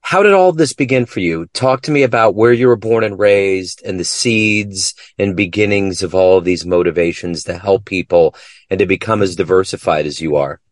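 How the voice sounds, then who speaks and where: Deep-voiced man hosting, dry close sound. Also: normal speed, no room sound. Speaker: podcast interview host. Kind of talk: conversational interview questioning.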